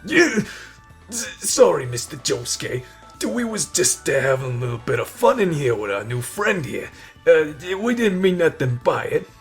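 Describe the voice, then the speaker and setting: A man's voice: fairly high pitch, big pitch swings, moderate pace, soft background music. A man voicing a cocky but cowardly teenage school bully in an anime fan audio roleplay. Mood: nervous grovelling.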